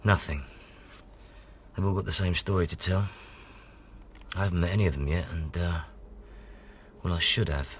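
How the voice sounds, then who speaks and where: An actor playing a stern, driven British detective inspector in a radio drama, brusque and commanding. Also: male voice, deep voice, normal speed, pauses often, slight background noise, close to an everyday voice. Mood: busy, matter-of-fact. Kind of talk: naturalistic radio drama acting.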